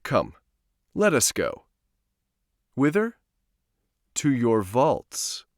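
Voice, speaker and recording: A man acts out a drunken, excitable nobleman in a gothic storybook reading. He is boastful and scornful, exclaiming and insisting with wildly swinging pitch. The recording is dry. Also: very slow, dry recording, heavily acted. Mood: scornful, eager. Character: tipsy, boastful, excitable Italian nobleman at carnival.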